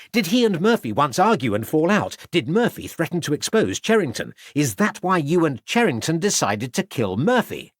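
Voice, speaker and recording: A man's voice: mid-range voice, no room sound, clean studio sound, pitched above the performer's own voice. A man voicing a stern, persistent police interrogator who fires off accusing questions. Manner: hectoring questions. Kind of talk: audiobook character voice.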